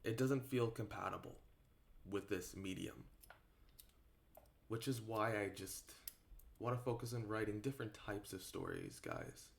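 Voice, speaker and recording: A man giving a casual, unscripted opinion talk to his viewers as an online creator, halting and rambling, often repeating himself for emphasis. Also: on the low side, big pitch swings, creaky.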